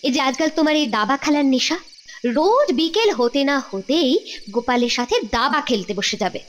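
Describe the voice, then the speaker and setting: A woman voicing a nagging but easily placated queen in a cartoon, scolding and expressive. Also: sits high, moderate pace, slight background noise. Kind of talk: animated cartoon dialogue. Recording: very loud music behind the voice.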